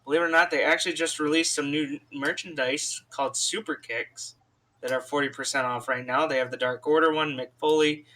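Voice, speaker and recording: A man's voice: a little high, fairly even intonation, fairly quick, pushed and bright. A man co-hosting a wrestling podcast, giving casual, informal promotional patter and addressing listeners as folks. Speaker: podcast co-host. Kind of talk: casual sponsor read and banter on a wrestling podcast.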